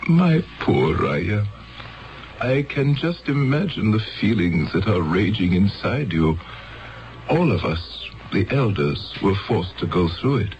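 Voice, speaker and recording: An actor playing a grave, paternal elder of a peaceful future world in a 1970s radio drama, speaking solemnly and deliberately. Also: male voice, lowish voice, sing-song. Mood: grave, insistent. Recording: dull lo-fi 1970s radio broadcast.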